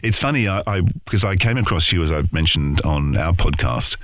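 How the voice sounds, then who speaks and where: A man with an Australian accent and an extremely deep voice talks in a loose, rambling conversational style. The audio is dull and narrow, with loud noise and clicks over it. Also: expressive, a little fast. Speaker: interview guest, podcast co-host in voiceover and audio. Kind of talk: casual conversational interview.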